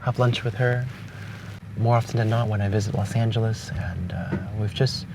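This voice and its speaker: Man, young, from Hong Kong, native Cantonese, reflective, pausing, outdoor beach, noisy background, passing cars. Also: fairly low pitch, fairly even intonation, gravelly. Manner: thoughtful, pausing with restarts. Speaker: vlogger. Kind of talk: reflective spoken letter. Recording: distant outdoor mic with noise.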